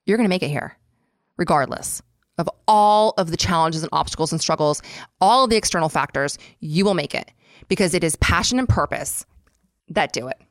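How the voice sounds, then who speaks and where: Woman in her 30s, lower voice, animated podcast guest from California, dry sound. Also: brisk, dry recording. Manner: chatty, animated, frequent fillers and restarts. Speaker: acupuncturist. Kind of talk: casual podcast chat.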